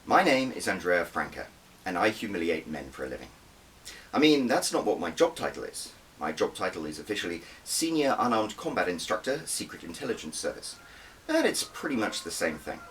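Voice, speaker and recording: A man's voice: low-pitched, big pitch swings, brisk, fairly bright tone, distant mic, slight background noise, poor audio. A man reading his own story aloud as an audiobook, with a wry, conversational manner and dramatic emphasis. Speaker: author reading own book. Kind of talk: first-person audiobook narration.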